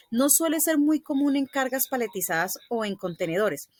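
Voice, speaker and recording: A woman's voice: mid-range voice, fairly bright tone, no echo. A woman presenting a scripted explanatory lesson, reading aloud in a didactic way with occasional stumbles.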